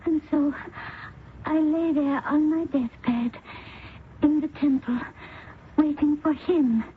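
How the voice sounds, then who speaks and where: An actress playing a dying young Indian princess who speaks faintly and haltingly. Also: female voice, sits high. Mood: fading, devoted. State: weak, dying. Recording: old radio broadcast, phone-like band with faint hiss.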